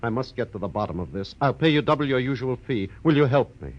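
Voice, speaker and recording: A man's voice: mid-range voice, animated, quick pace, close to an everyday voice. Man playing an anxious businessman, dull old recording. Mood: worried, pleading. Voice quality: smoker's coughs breaking into speech. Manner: agitated, earnest, clipped pleading. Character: anxious, fussy businessman who fears for his life. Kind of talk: 1940s American radio drama acting.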